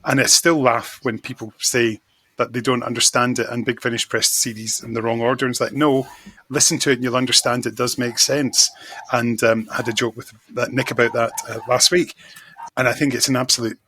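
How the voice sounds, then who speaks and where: Podcast guest: effusive man, mid pitch, bright raspy tone, dry sound, casual fan chat. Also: fairly even intonation, a little fast, dry recording, poor audio. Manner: enthusiastic, effusive. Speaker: podcast guest, magazine editor.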